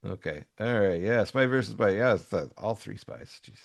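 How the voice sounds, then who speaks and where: A man co-hosting a casual comics chat, talking in a hesitant, halting, agreeable way. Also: on the low side, lively intonation, vocal fry. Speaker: co-host.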